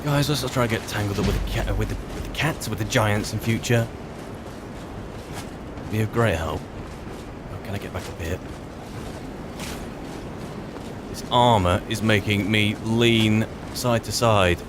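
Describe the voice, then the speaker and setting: A man's voice: fairly low pitch, moderate pace, background noise, close to an everyday voice. A man playing a wry, grumbling adventurer in a fantasy video game roleplay, musing to himself in a hesitant, politely ironic way. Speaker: roleplaying game player and narrator. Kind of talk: in-character improvised gameplay commentary.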